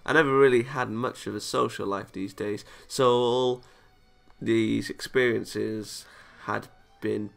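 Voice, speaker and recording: Bright-voiced man reading a visual novel, creaky. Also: on the low side. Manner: chuckles and comments between read lines. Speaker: video game let's player. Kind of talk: reading visual novel narration aloud with casual reactions.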